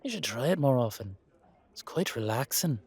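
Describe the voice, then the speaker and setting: A man's voice: medium pitch, lively intonation, moderate pace, darkish tone, slightly rough. A man voicing a cheeky, centuries-old leprechaun with an Irish accent in a roleplay audio drama, blunt, roguish and teasing.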